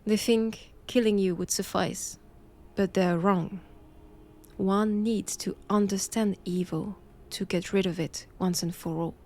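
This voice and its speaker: A woman playing a solemn, assured Vatican nun who hunts knowledge of vampires, with a French accent and a hushed, deliberate delivery in an intimate audio roleplay. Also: mid-range voice, fairly even intonation. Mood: grave, resolute.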